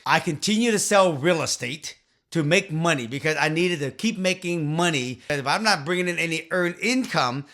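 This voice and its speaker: Bright-voiced man in his fifties telling his story. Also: on the high side, expressive, normal speed. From Vietnam. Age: 50s. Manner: earnest, emphatic, talks with his hands. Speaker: real estate investor and channel host. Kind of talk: direct-to-camera storytelling. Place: small home office. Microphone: studio mic on a boom arm.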